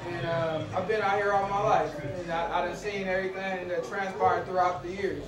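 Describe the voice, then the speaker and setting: A man's voice: high-pitched, flat delivery, normal speed. An American man from the Bay Area talking casually and slangily, often repeating words as he starts a thought. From Bay Area, California. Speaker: documentary interviewee. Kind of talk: casual street-level interview talk. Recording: echoey, noisy location recording.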